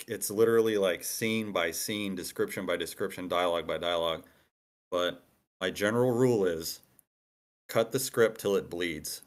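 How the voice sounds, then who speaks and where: A man in a casual group discussion, explaining his views on writing at length with emphasis and repetition. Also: lowish voice. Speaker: screenwriter giving feedback. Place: online meeting room.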